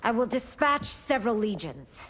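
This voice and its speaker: A woman's voice: fairly high pitch, big pitch swings, normal speed, fairly bright tone. A woman voicing a regal, commanding empress in a video game, stately and formal. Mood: troubled. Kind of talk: video game character acting.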